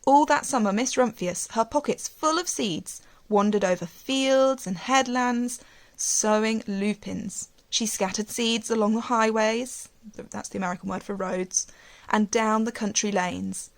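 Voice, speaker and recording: A woman teacher reading a storybook aloud to young children, expressively, with gentle questions and asides. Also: fairly high pitch, unhurried.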